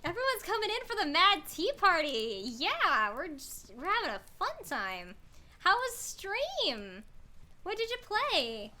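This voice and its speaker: A woman hosting a casual livestream. Her voice is high and very bright, with lively swings in pitch. She comes across as bubbly, scattered and excitable. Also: a little slow. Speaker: streamer, host of a story-reading livestream.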